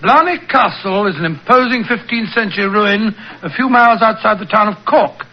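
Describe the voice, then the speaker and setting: An actor playing an elderly, genial British doctor who recounts old adventures in a hesitant, avuncular way, in 1940s radio style. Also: male voice, high-pitched, normal speed. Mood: genial, chatty. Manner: avuncular, hesitant, slightly fussy storyteller. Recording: muffled old-time radio recording.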